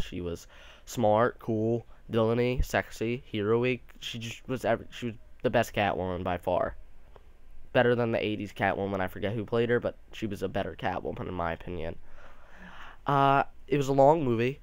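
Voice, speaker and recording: A man's voice: lowish voice, moderate pace, smooth, no echo. A young man giving a casual, rambling movie review, sounding a bit nasal from allergies, with many restarts.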